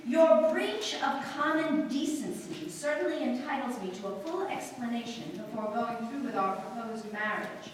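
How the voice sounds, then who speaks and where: A woman acting a flustered, high-strung young society bride in a theatrical, halting 1940s radio-play style. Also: expressive, lightly acted. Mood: brittle, defiant. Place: live staged radio-play performance in a hall. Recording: roomy, echoey distant live stage recording, faint noise.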